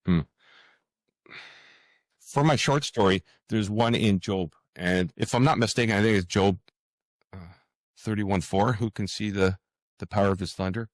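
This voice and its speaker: A man answering as a guest author in a conversational interview, earnest and halting as he searches for words. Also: lowish voice, no room sound, studio quality.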